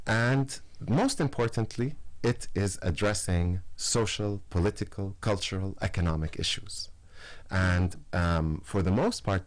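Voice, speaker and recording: A man as a radio interview guest, explaining at length in long lists with frequent fillers and restarts. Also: low-pitched. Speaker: guest artist.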